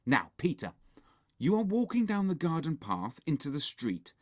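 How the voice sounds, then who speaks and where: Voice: mid-range voice, very animated, moderate pace, pitched above the performer's own voice. A narrator voicing a bossy, scheming young boy who commands and sneers in a children's storybook.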